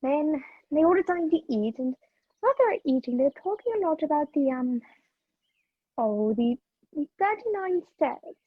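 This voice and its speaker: A feisty young radio-play heroine with a high voice, acted by a woman. She is sharp-tongued but willing to help. The recording is close, dry and a little muffled. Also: expressive, normal speed, dry recording. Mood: hesitant, confiding. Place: video call, dry room. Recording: muffled video-call audio.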